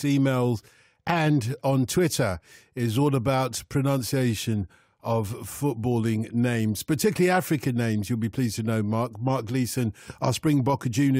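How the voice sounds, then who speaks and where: A man's voice: medium pitch, darkish tone, dry recording. A man hosting a radio phone-in show, chatting jokily and reading out listener messages in a studio. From Nigeria. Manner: jokey, chuckling, reads out listener messages.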